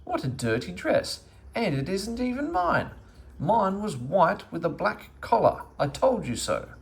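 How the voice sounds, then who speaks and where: A man's voice: sits high, sing-song, a little slow, creaky, voice pushed up, heavily acted. A man voicing a fussy, outraged older woman who exclaims and scolds in a children's storybook. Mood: indignant, scolding. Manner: exclaiming, indignant, fault-finding.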